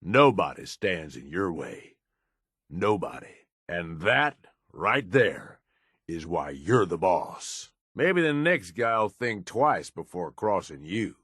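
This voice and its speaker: A man voicing a cynical, ruthless raider henchman in a video game, with a rough husky voice and a folksy, slangy, sardonic drawl. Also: low-pitched, big pitch swings, takes it slowly, a bit bright, no room sound, studio quality, full character voice. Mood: approving, gleeful. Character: gruff cynical ruthless male raider henchman in a post-apocalyptic wasteland.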